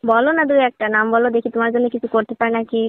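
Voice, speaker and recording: A flirtatious, lonely sister-in-law, played by a woman in a phone-call drama. She coaxes and teases in a very quick stream of talk, heard through a thin, tinny telephone line with little room sound. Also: a little high, fairly even intonation, dry recording, close to an everyday voice. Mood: coaxing. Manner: coaxing, teasing, suggestive. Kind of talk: staged phone-call dialogue.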